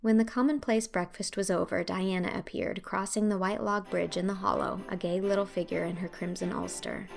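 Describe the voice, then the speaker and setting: A woman's voice: medium pitch, fairly even intonation, fairly quick, creaky, few pauses, faint music behind. A woman narrating an audiobook in a storybook reading manner.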